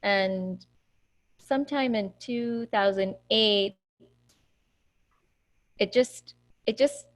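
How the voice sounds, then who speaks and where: A woman's voice: medium pitch, extremely slow, long pauses. Indian-born woman yoga teacher on a call. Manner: warm, laughs easily, many fillers. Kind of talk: casual talk on a video call. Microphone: video call.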